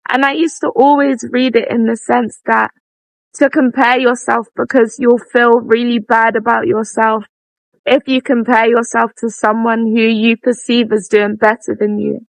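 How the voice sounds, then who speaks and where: A young woman hosting a casual, reflective monologue, chatty and quick to laugh at herself. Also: fairly high pitch, level tone, vocal fry, dry recording, low-quality recording. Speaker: vlogger and podcast host.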